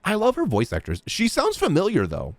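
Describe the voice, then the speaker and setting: A woman streaming a game with casual, expressive, jokey reaction commentary and exclamations. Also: mid-range voice, fairly quick, crowd noise.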